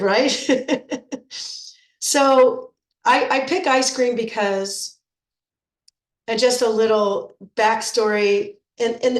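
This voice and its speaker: Middle-aged female coach, congested from a cold, chatty and repetitive, in an echoey room. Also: a little high. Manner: chatty, repeats words when restarting. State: stuffed up with a cold. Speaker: nutrition coach. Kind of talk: informal coaching talk to program members.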